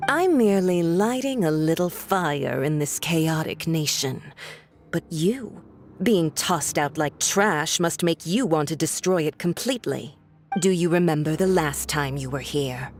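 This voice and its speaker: A woman playing a cold, imperious villainess in a video game, speaking in a measured, cutting, condescending way. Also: low voice, expressive, a little mellow. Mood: cold taunting. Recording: music behind the voice.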